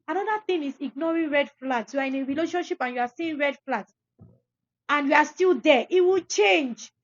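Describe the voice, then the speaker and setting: A woman's voice: high-pitched, a little fast, dry recording, highs cut off. A young woman podcast host, giving emphatic, preachy advice to listeners and repeating phrases for stress. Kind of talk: advice talk, addressing listeners.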